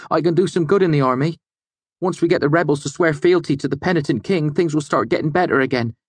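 A man doing an eager teenage apprentice lad, raised well above the reader's own low register and pushed louder. He sounds earnest and pleading, quick to bristle, with a dry, close sound. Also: steady tone, no room sound, close to an everyday voice. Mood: indignant. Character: teenage male smith's apprentice, eager, defensive.

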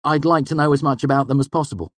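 A man's voice: a little high, very fast, no room sound, voice pushed up, natural voice. A man voicing an eager, earnest young male student in a storybook, polite and a little hedging.

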